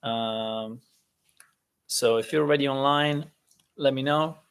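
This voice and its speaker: A man who is a native Italian speaker, hosting a casual live-stream lesson, talking in a chatty, halting way with many fillers. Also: medium pitch, creaky, a bit bright, clean tone.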